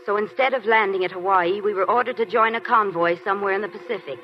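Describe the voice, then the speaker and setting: An actress as a wry young Army nurse on old radio. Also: female voice, mid-range voice, fast talker, slight background noise, close to an everyday voice. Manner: dry, teasing wit. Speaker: Army nurse lieutenant and narrator. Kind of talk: 1940s radio drama acting. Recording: dull 1940s radio broadcast with faint music.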